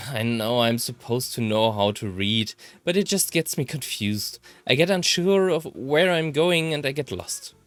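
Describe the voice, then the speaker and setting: German man reading expressively, animated pitch. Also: a little slow, hardly any pauses, dry recording, soft background music. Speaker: let's player reading a visual novel aloud. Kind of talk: expressive read-aloud of first-person game text.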